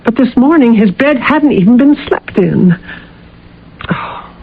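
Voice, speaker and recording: A woman's voice: fairly high pitch, animated, a little slow, husky, heavily acted. A woman playing a president's composed, earnest wife in a radio drama, with articulate, dramatic delivery. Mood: anxious but composed. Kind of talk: 1980 radio drama acting. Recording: phone-like vintage radio recording, music behind.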